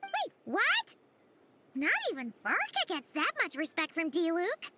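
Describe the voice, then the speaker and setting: Squeaky, very high childlike fairy companion. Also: female voice, huge pitch swings, measured pace, heavy background noise, exaggerated voice. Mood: surprised, curious. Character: tiny floating fairy companion, childlike, excitable, curious. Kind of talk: video game voice acting. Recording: loud music behind. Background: loud game music.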